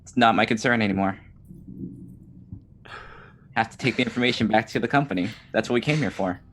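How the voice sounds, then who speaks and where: A woman's voice: very deep voice, fairly quick, a little hiss or hum. A woman playing a tabletop game, talking casually and partly in character with a dry, offhand manner.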